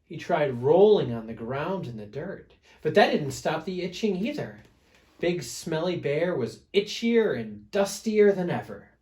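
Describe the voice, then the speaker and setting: A man's voice: on the high side, big pitch swings, darkish tone. A man reading a picture book aloud to children as a storyteller, with dramatic emphasis. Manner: dramatic emphasis, pauses to prompt listeners.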